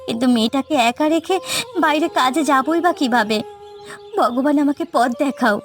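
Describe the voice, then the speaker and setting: A woman's voice: sits high, moderate pace. A woman voicing a wronged young widowed daughter-in-law in a cartoon family drama, earnest and firm. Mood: pleading. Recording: background music.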